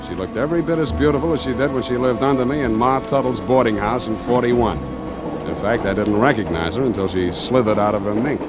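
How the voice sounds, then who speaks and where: Actor as hard-boiled American male private eye: mid-pitched, sardonic, clipped wisecracks, vintage radio noir over music. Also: a little fast, lightly acted, low-quality recording. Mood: wry narration. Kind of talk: 1940s radio noir acting.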